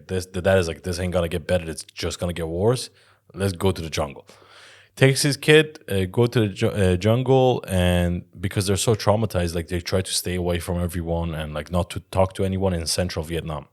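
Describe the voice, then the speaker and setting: A man with a slightly low voice tells stories on a casual podcast. He rambles and restarts, swears freely and laughs often as he talks. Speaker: podcast co-host. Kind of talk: casual chat, telling stories.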